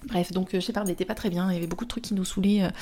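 Young french woman telling her story casually, lots of euh and restarts, kinda flat monotone delivery. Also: on the low side, racing. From France. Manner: rambling, many fillers and restarts, slangy. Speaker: spiritual therapist and vlogger.

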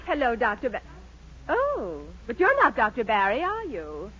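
An eager, easily fooled lady patient, high-toned and sharp, with theatrical gushing. It is 1940s radio comedy acting on a dull, lightly noisy old recording. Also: huge pitch swings, moderate pace.

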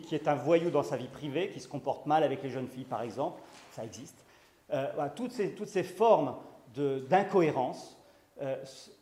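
A man's voice: on the high side, dark tone. A man giving a conference talk, hesitant with frequent restarts and fillers, pushing hard on key words. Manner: emphatic, rising on key words. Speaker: lecturer. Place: conference hall. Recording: echoey room.

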